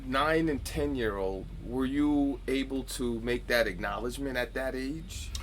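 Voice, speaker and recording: A man's voice: medium pitch, measured pace, a little hiss or hum. A man hosting a live-streamed author interview, friendly and encouraging, with frequent short backchannel replies. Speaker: interviewer, host.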